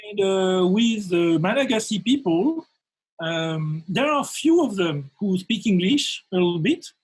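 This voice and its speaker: A man speaks English with a French accent in a high, husky voice. He hesitates often, filling the gaps with uh and um. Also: animated, a little slow, poor audio. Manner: hesitant, frequent fillers. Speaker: host. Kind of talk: webinar conversation.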